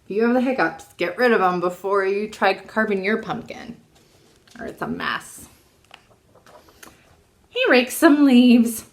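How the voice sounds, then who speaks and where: Animated, slow-paced woman reading to children. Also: mid-range voice. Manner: expressive, asks the listeners questions, exaggerated delivery for children. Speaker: librarian reading a children's storybook aloud. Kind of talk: children's storytime read-aloud.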